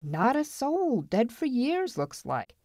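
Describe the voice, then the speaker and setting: A woman's voice: a little high, wildly swooping pitch, takes it slowly, darkish tone, dry recording, studio quality, pitched above the performer's own voice, exaggerated voice. A woman voicing a big, boastful male gold prospector in a western story, bold and taunting, with folksy frontier talk. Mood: matter-of-fact.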